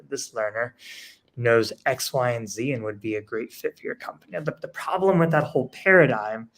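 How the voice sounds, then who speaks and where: A man speaking as an education panelist, analytical, building his points through rhetorical questions. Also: medium pitch. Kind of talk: panel discussion answer.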